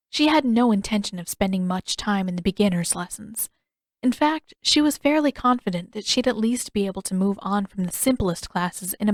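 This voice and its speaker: A woman's voice: medium pitch, dry recording, studio quality. A woman reading a scripted story aloud as an audiobook narrator. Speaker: fan fiction author reading own story.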